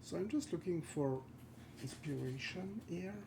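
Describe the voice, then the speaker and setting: A man from France with a French accent, thinking aloud and chatting with viewers while he paints live, halting and searching for words. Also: on the high side, animated, gravelly, background noise. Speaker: concept art streamer. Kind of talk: live art stream chat.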